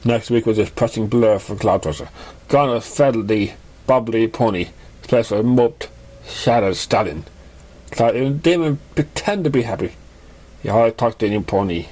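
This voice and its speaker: A man's voice: medium pitch, darkish tone. A man reading fan fiction aloud as an amateur narrator, often stumbling over words and restarting them. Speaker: amateur story reader.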